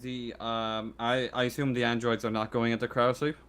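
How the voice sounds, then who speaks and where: A man's voice: medium pitch, level tone, moderate pace, fairly bright tone, smooth. A man acting as game master, narrating and explaining rules in an informal, conversational way. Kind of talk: casual tabletop game narration and rulings. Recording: faint background noise.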